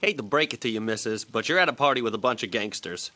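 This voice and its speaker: Very low-voiced cocky gangster, woman actor. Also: animated, fast talker, pushed and bright, heavily acted. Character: cocky, teasing gangster with a swaggering air.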